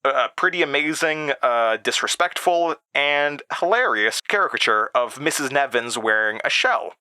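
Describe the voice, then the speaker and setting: A man's voice: medium pitch, animated, normal speed, sharp tone, clear, resonant tone, dry recording. An actor playing an uneasy man who recounts childhood memories in a confiding, hesitant audio drama monologue. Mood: amused.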